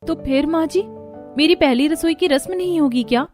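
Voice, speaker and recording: A woman voices a young bride in a cartoon family drama. She is high-pitched, polite and submissive, with a plaintive, hopeful way of talking over faint music. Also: moderate pace, darkish tone, lightly acted. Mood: meek, plaintive.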